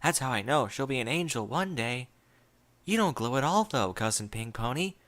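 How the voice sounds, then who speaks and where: A man voicing a sweet, eerily innocent little filly, in a soft childlike voice. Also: medium pitch, animated, moderate pace, lightly acted.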